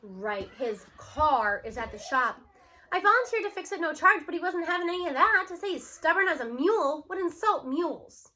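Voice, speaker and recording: Woman reading a kids novel out loud, expressive, high bright voice. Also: moderate pace. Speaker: read-aloud narrator. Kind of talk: expressive first-person storybook narration.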